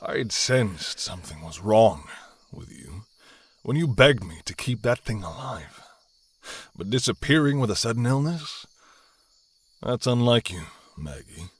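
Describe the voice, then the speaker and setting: Casting: actor as cold, controlling hunter patriarch, middle-aged male, lowish dry-recorded voice, scornful and deliberate, suspense audio play. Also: lively intonation, breathy edge, no echo. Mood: menacing, scornful. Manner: deliberate, scornful, dramatic pauses. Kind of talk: suspense audio drama acting.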